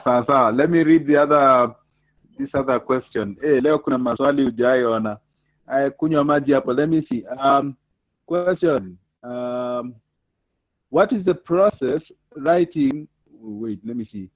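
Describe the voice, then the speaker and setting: A man with a Kenyan accent hosting a video call interview, lively as he directs the participants and reads out viewers' questions. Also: medium pitch, dry recording. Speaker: host. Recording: tinny phone-like, dry call audio.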